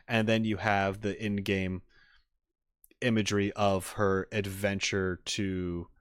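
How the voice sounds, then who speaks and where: A man giving casual livestream reaction commentary to game trailers, rambling and halting as he rephrases his thoughts. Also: fairly low pitch, clear, resonant tone, no room sound, clean studio sound.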